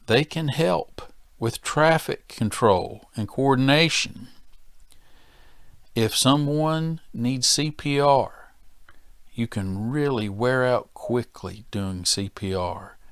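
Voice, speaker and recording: A man's voice: mid-range voice, dry recording, clean studio sound. An American man giving an instructional safety training talk as an instructor, emphatic and repeating key phrases for stress.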